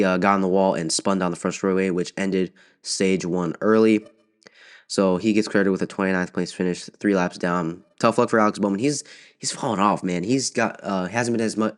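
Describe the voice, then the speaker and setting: A young man with a cold, sniffling, hosting a casual solo race recap podcast with many fillers and restarts. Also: low voice. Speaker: podcast host.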